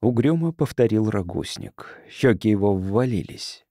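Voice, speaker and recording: A man's voice: fairly low pitch, lively intonation, measured pace, mellow, dry recording, clean studio sound. A man reading science fiction as a scripted audiobook narrator.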